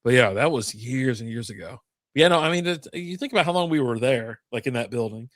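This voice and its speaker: A man's voice: medium pitch, expressive, fairly quick, fairly bright tone, no room sound. Easygoing chuckling man, dry sound. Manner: easygoing, chuckling, swears casually. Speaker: podcast co-host. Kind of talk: casual chat.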